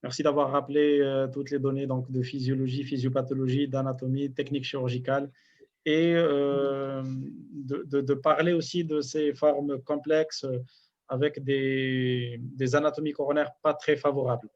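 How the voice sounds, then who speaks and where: A man's voice: mid-range voice, level tone, moderate pace, a little mellow. A man moderating a medical webinar, polite and somewhat hesitant with fillers. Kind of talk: formal moderating. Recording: dull lo-fi sound.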